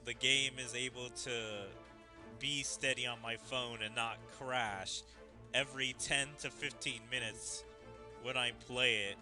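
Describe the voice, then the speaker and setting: A man doing casual gaming commentary as a streamer, with an exasperated, animated delivery over game music. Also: medium pitch, measured pace. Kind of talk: casual game playthrough, reading dialogue aloud.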